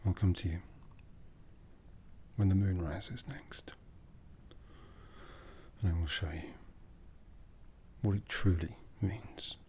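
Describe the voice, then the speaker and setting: A man voicing a brooding, tender and possessive vampire lord for an ASMR roleplay, in a soft, breathy half-whisper with slow, intimate, solemn delivery. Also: sits low, a little hiss or hum. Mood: gentle, apologetic.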